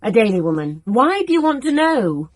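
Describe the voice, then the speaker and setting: A woman's voice: a little high, big pitch swings, moderate pace, no room sound. An actress playing a proud, prickly young woman in a radio drama, guarded and curt in her replies. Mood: defiant, curt. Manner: curt, defiant, short replies.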